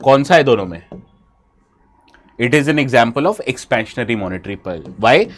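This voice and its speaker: A man's voice: medium pitch, expressive, very fast. Indian man teaching with a cold. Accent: Indian English. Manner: asks students questions, switches briefly into Hindi. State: has a bad cold. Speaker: exam prep teacher. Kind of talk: live online lecture.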